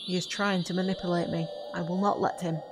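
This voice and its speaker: A woman playing a composed witch in an audio drama, with a measured, formal way of talking. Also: fairly low pitch, flat delivery, crowd noise, lightly acted, low-quality recording. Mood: grave, steady. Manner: measured, formal, avoids contractions. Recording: faint background noise and ambience.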